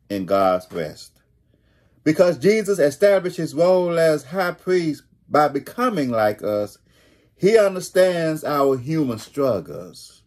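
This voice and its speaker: Male pastor, middle-aged. Very unhurried, deliberate sermon delivery with emphatic repetition, mid-range voice. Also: mid-range voice, darkish tone, husky. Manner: deliberate, repeats key phrases for emphasis. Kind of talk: sermon, read from notes. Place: small home room, indoors.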